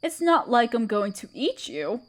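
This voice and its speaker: A woman playing a gentle, protective half-spider queen in a roleplay audio drama, speaking tenderly and soothingly to the listener. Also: fairly high pitch, big pitch swings, a little slow. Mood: puzzled, reassuring. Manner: tender, soothing, hesitant and coaxing. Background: chirping crickets.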